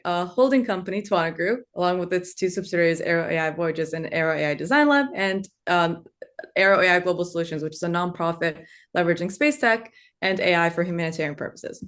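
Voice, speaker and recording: A woman's voice: lowish voice, fairly bright tone. A woman in her twenties, born in Turkey with Turkish as her first language, speaking earnestly on a webinar panel with frequent ums and uhs. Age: 20s. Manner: earnest, fills pauses with um and uh.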